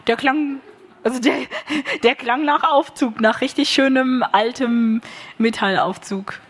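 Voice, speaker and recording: A woman's voice: high voice, a little slow. A woman who took part in the workshop, answering the moderator in short, casual remarks. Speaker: workshop participant. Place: stage at a festival panel.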